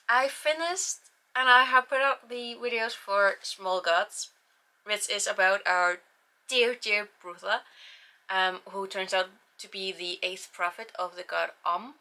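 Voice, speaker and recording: Bright chatty Icelandic-accented woman. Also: mid-range voice, slow. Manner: chatty, self-deprecating, rambling with restarts. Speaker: book channel host. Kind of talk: casual book review vlog.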